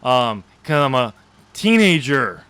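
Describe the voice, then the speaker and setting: A man with a medium-pitched voice co-hosts a casual podcast. He talks through a story informally and haltingly, often searching for the right words. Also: sing-song, bright, forceful tone, smooth. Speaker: podcast co-host. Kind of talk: casual chat discussing a story.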